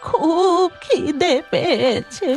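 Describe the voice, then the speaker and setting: Very high, plaintive beggar woman. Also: expressive, a little mellow, faint music behind, heavily acted. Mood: pleading. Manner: plaintive, drawn-out begging. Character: hungry pleading beggar woman, meek and wretched. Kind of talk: cartoon story voice acting.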